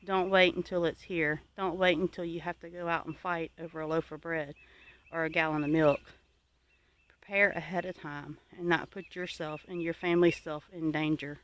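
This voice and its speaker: A homesteading channel host gives viewers advice in an earnest, exhorting and deliberate way. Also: medium pitch, level tone, fairly bright tone.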